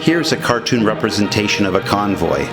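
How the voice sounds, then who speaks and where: A man's voice: on the low side, animated, a little fast. A man reading scripted documentary narration as the narrator of a historical film. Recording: music under the voice. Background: music.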